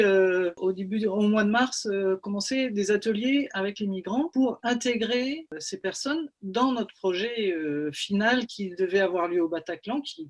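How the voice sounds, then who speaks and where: A woman musician answering questions on a panel, speaking hesitantly with frequent restarts. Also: medium pitch. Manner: hesitant, many restarts and fillers. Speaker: musician and project leader. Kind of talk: panel discussion.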